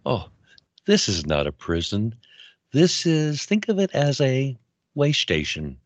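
An actor playing a wise, fatherly man of mystery who gently reassures souls in a fantasy audio drama. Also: medium pitch, wildly swooping pitch, slow, no echo, heavily acted. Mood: gentle, kindly.

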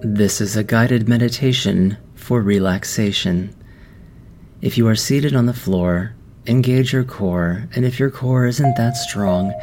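A man with a fairly low voice leads a guided meditation over clear background music. He speaks very slowly and gently, leaving long pauses between soothing instructions.

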